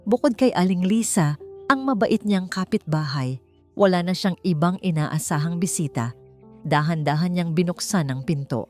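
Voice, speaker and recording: A woman narrates a romance story in a slightly low voice. Her delivery is scripted and dramatic, like an audio storybook, with music playing behind her. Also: moderate pace, darkish tone.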